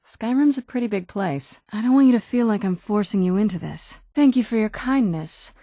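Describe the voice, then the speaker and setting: A woman's voice: mid-range voice, expressive, moderate pace, warm tone, no echo, clean studio sound. A young woman playing an ancient but youthful vampire noblewoman in a video game, speaking in a wry, understated, conversational way. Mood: dry, guarded. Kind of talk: video game character dialogue.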